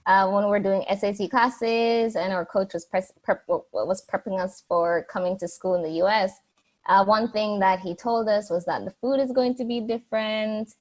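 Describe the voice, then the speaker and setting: Young woman, podcast guest, chatty storyteller with fillers, mid-pitched voice. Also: normal speed. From Jamaica. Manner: chatty, frequent restarts and fillers. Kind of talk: casual interview answers.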